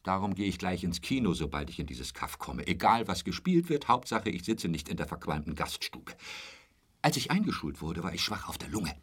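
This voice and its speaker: An actor in a radio drama playing a talkative, intrusive, lecherous hotel roommate who boasts and pities himself in a pestering way. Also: male voice, low voice, very animated, normal speed, fairly bright tone, exaggerated voice. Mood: chatty, boastful. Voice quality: husky, dropping into whispers. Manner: garrulous, pestering, repeats pet phrases.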